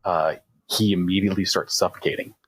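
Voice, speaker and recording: A man's voice: sits low, fairly even intonation, brisk, breathy edge. A man playing a tabletop roleplaying game, casually describing moves and explaining rules step by step.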